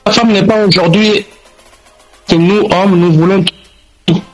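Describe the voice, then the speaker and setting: A man answering as a radio guest, halting and searching for his words. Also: high-pitched, steady tone, faint music behind, rough recording. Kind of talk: answering questions in a radio discussion.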